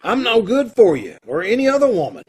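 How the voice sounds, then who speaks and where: A man's voice: sits high, huge pitch swings, fairly quick, slightly rough, heavily acted. A man playing a brooding, self-loathing drifter in an old time radio drama, with blunt, slangy tough-guy talk. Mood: agitated, despairing.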